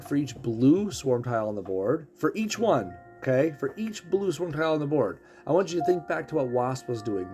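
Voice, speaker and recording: A man casually explaining a game character's abilities, throat rough as he is losing his voice. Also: medium pitch, a little mellow. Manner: explanatory, walks through ability text. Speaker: game streamer.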